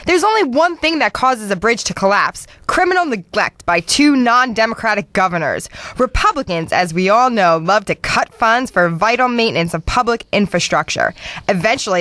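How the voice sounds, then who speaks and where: A woman's voice: on the high side, sing-song, normal speed, sharp tone, no echo. A woman doing a dramatic radio reading as a bitter, scornful female political blogger, emphatic and sarcastic. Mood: bitter sarcasm. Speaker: radio show reader. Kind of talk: dramatic reading of angry blog posts.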